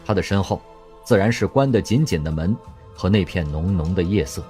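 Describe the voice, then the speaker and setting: A man narrating an audio drama with expressive storytelling. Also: low voice, a little fast. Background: faint music.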